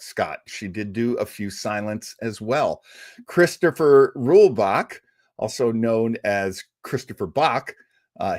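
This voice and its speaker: A man's voice: medium pitch, no echo, clean studio sound. A man hosting a live show, chatty and rambling as he reads out viewer comments. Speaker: show host. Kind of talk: casual livestream chat.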